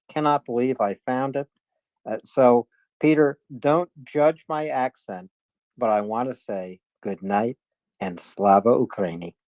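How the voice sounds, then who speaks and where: Older male host, folksy and halting, mid-pitched, dry sound. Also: darkish tone, dry recording. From coastal Maine, US. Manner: folksy, hesitant, many "uh"s. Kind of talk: casual chat on a live audio space.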